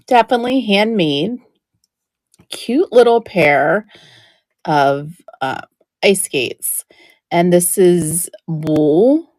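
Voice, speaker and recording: A woman running a live-stream auction, chatting warmly about each item while she loses her voice and keeps clearing her throat. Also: medium pitch, no room sound. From New Jersey. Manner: chatty, describing items up close.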